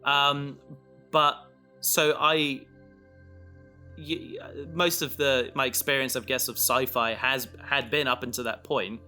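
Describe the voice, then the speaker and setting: A man chatting casually as co-host of a podcast about the audio drama he writes, thinking aloud in a halting way. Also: medium pitch, fairly even intonation, pushed and bright, faint music behind. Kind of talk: casual behind-the-scenes podcast chat.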